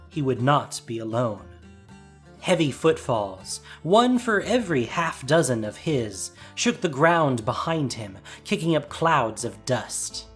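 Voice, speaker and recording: Guy narrating a fantasy audio drama, mid pitch, measured suspenseful storytelling, music under him.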